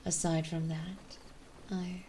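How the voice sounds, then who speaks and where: A woman playing a gentle yet possessive, dominant vampire mistress in an ASMR roleplay, speaking softly and soothingly, often in a breathy whisper. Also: lowish voice, flat delivery, slight background noise. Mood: persuasive, composed. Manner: soothing, coaxing, slow and deliberate.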